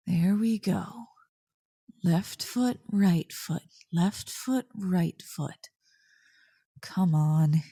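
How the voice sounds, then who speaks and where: A woman's voice: on the low side, expressive, very slow, pauses often, dark tone, slightly rough, no room sound, clean studio sound, heavily acted. A woman playing a snarky tsundere young succubus who secretly cares, teasing and exasperated in an ASMR roleplay. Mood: teasing, coaxing. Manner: teasing, exasperated, sighing, grudgingly soothing.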